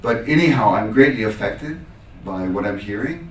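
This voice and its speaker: A man's voice: lowish voice, lively intonation, brisk, fairly bright tone, slight background noise. A man from the United States giving a talk and answering audience questions, telling anecdotes and acting out the exchanges he quotes. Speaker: academic lecturer taking audience questions. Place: echoey lecture room at a conference. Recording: roomy echo, distant microphone.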